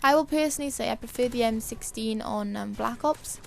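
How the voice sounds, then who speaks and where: A woman with a dry, hoarse voice giving casual, chatty and rambling commentary over a video game. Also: on the high side, fairly quick, a little mellow, a little hiss or hum. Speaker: gaming video creator.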